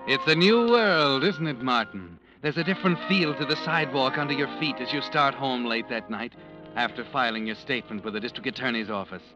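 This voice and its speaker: An actor playing a brooding crime-story narrator in old radio style, suspenseful and deliberate. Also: male voice, medium pitch, lo-fi sound, natural voice. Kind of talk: 1940s-50s radio drama narration. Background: dramatic instrumental music.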